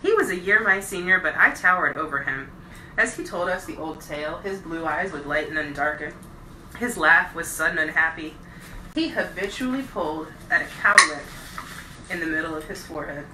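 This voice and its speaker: A woman reading a novel aloud as a bedtime storyteller, with expressive, deliberate phrasing and stressed words. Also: low voice. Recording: roomy echo, distant mic, faint noise.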